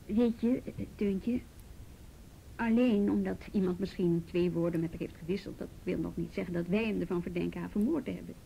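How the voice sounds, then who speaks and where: A woman's voice: gravelly, dark tone, low-quality recording. A woman playing a patient, persistent youth police officer in a radio drama, coaxing. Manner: coaxing, probing, deliberate. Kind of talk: Dutch radio drama acting. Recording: old radio recording, faint rumbly noise.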